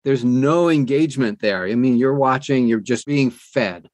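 A man's voice: medium pitch, normal speed, darkish tone, no echo. An older American man chatting casually as an interview guest. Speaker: guest, audiobook narrator and publisher.

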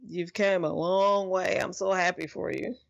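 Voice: mid-range voice, steady tone, unhurried, vocal fry, highs cut off. A podcast host interviewing a guest in an effusive, supportive, chatty way. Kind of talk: conversational interview.

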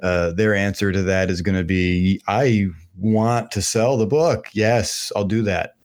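A man's voice: fairly low pitch, fairly even intonation, a little slow. A man in his thirties hosting a casual podcast chat, rambling and hesitant as he searches for words. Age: 30s. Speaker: podcast host.

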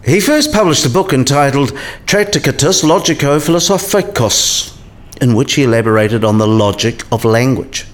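An actor playing an eccentric, kindly old philosophy professor in a radio play, musing and didactic. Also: male voice, mid-range voice, lightly acted. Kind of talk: scripted radio play dialogue.

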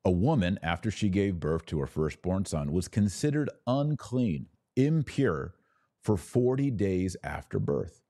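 A man speaks in a low voice that rises and falls in lively swings. He teaches like a devotional podcast host, phrasing deliberately and pausing for emphasis. The sound is close and dry. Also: dry recording. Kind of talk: devotional theology talk on a live podcast.

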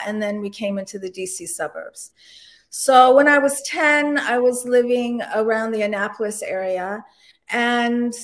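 A woman from Turkey, a guest on the show, telling a personal story in an earnest, reflective way with frequent pauses. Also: a little high.

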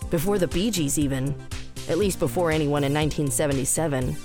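A woman's voice: low-pitched, quick pace. A woman reading scripted story narration for audio fiction. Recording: roomless dry studio, faint music underneath. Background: faint music.

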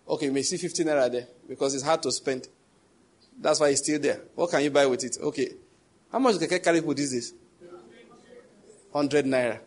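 A middle-aged Nigerian man with a Nigerian English accent, preaching a sermon in a conversational, humorous way. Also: on the high side. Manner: conversational, humorous, laughs at his own jokes. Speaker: pastor.

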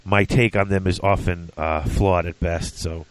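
A man's voice: low voice, fairly even intonation, measured pace, no echo, rough recording. Congested man hosting a podcast. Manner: chatty, self-deprecating, with fillers and restarts. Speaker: podcast host. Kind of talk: casual podcast chat.

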